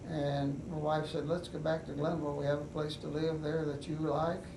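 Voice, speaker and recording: An older man with a West Virginian accent, telling his life story in an oral history interview in an unhurried, halting way with many pauses. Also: on the high side, little pitch movement. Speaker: veteran interviewee. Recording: echoey room, mic at a distance, noisy.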